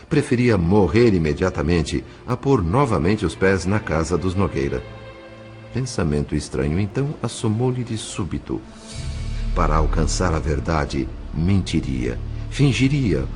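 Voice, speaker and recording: Man acting as a very deep, solemn drama narrator. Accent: Brazilian. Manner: solemn, literary, measured. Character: grave spirit narrator of a tragic story. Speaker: narrator of an audio novel.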